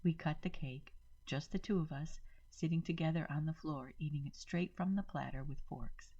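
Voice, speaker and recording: A woman's voice: low voice, moderate pace, mellow, husky, highs cut off. A woman storyteller reading a dramatic first-person story as a channel narrator.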